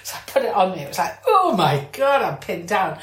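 Woman, medium pitch, casual conversational style, informal, searching for words, roomy echo. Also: sing-song, fairly quick. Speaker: podcast host. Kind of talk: casual chat. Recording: echoey room.

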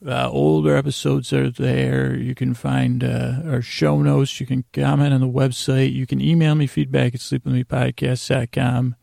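A man hosting a bedtime podcast in a gravelly voice, rambling in a halting, self-deprecating way that trails off mid-sentence to lull listeners to sleep. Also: medium pitch, little pitch movement, mellow, no echo, clean studio sound. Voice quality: gravelly, creaky. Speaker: podcast host.

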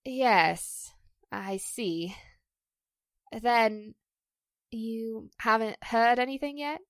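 A woman playing a distressed, pleading stage actress in a 1940s-style radio drama, halting and emotional. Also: fairly high pitch, no echo, studio quality. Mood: hurt, subdued. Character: distressed stage actress accused of a publicity stunt, pleading, wounded.

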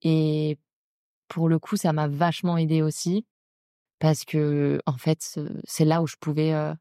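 A young woman with a French accent from France speaks in a low, soft-edged, relaxed voice. The sound is close and dry. She chats informally as a podcast host, using slang and talking directly to the listener. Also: measured pace, clear, resonant tone, no echo. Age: young adult. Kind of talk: casual solo chat about personal experience.

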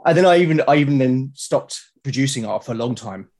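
A man's voice: mid-range voice, animated, fast, darkish tone. A middle-aged man with a southern English accent, chatting and telling stories as an interview guest in a hesitant, reflective way. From South London, UK. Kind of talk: casual conversational storytelling.

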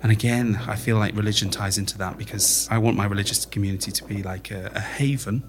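A man's voice: fairly low pitch, steady tone, quick pace, background noise. A man speaking as an interviewed playwright, explaining his thinking in a reflective, conversational way.

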